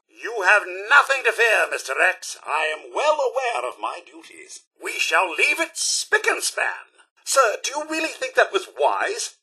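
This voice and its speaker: A man's voice: high-pitched, sharp tone, husky, heavily acted. A male actor playing a prim, loyal, fussy robot butler in a video game, with posh, theatrical British diction. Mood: polite, proper. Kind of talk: video game companion dialogue.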